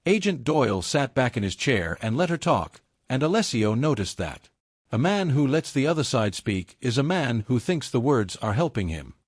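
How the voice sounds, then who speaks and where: A man's voice: medium pitch, very animated, normal speed, husky, no room sound, clean studio sound. A man reading a dramatic romance thriller as a scripted audiobook narration.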